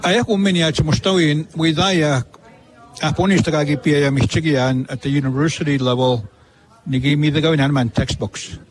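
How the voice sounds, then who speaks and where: A man hosting an interview, asking questions in a measured, hesitant way. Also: a little high, steady tone, low-quality recording. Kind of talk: casual interview talk.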